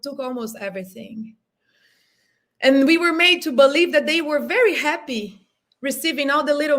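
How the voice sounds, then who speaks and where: A woman in her thirties with a Brazilian Portuguese accent speaks on a video call, her voice sitting a little high. She talks with raw, earnest emotion and often pauses to find the right English word. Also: a bit bright. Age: 30s. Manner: emotional, earnest, searching for words. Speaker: panel guest. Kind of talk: impassioned panel discussion.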